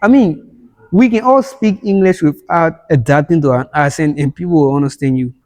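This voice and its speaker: A man's voice: on the high side, moderate pace, a little mellow. Agreeable young man from Liberia. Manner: agreeable, chimes in with short agreements. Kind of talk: casual podcast conversation.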